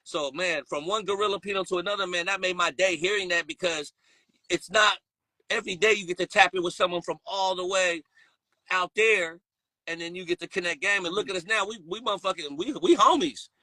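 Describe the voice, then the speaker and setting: A man's voice: high-pitched, brisk, pushed and bright, no room sound, low-quality recording. A man hosting a casual podcast chat, talking in loose slang, rambling and laughing often. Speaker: podcast host.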